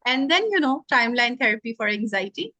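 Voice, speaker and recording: Woman, higher voice. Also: big pitch swings, a little fast, sharp tone, no room sound. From Pakistan. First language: not English. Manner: earnest, reflective, frequent "you know" fillers. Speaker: guest NLP trainer. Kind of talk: conversational interview.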